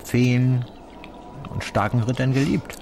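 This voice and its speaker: An actor playing a bitter, cynical detective in a radio play, talking low and subdued. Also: male voice, slow, gravelly. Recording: noticeable background noise.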